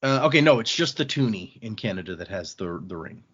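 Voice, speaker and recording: A man's voice: on the low side, sing-song, fairly quick, a bit bright, slightly rough, muffled recording. A man hosting a casual book podcast, recapping the story conversationally and loosely voicing characters' lines, with some swearing. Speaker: podcast host recapping a novel.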